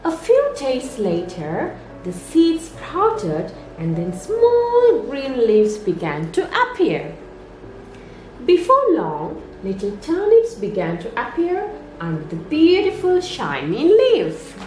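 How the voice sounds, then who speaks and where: A woman reading a children's story aloud as a nursery storyteller, in an expressive, sing-song way meant for young children. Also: sits high, slow pace. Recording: roomy echo, music underneath.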